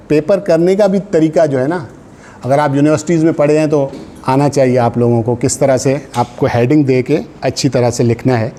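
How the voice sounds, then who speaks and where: A man's voice: a little high, dark tone, a little hiss or hum. A man with a Pakistani accent giving informal exam coaching, instructive, mixing in English terms and checking in with short tags. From Sindh, Pakistan. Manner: switches into English terms and phrases. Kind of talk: informal classroom lecture with exam advice.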